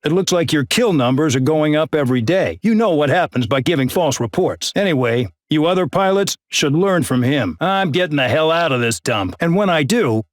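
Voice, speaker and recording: A man's voice: a little high, animated, normal speed, no room sound, studio quality, close to an everyday voice. A man voicing an arrogant, bullying male air base colonel in a game, barking brusque, contemptuous orders. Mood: stern. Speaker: military commander. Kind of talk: game voice acting.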